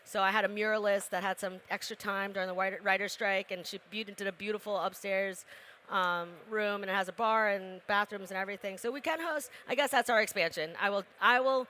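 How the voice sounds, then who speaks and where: A young woman talks in a bright, clear voice, quick and laughing with lots of fillers. She sounds like a restaurant co-owner in casual conversation, with light noise in the background. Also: mid-range voice, steady tone. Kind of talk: casual interview chat.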